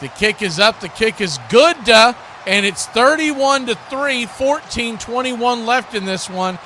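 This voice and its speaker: A man's voice: very high voice, animated, unhurried, pushed and bright. A man doing live play-by-play commentary of a football game as a sports announcer. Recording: noisy live stream, clear background noise.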